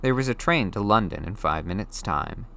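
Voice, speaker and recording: A man's voice: lowish voice, animated, normal speed, creaky, bright, forceful tone. A man reading a children's novel aloud as scripted audiobook narration.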